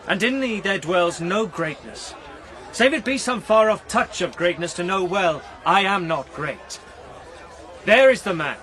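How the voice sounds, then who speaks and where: An actor playing a noble, self-doubting great knight, speaking archaic verse in a solemn, declamatory radio drama style. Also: male voice, high-pitched, lively intonation, a little slow, a bit bright. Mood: humble, grave. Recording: noisy outdoor ambience with crowd behind.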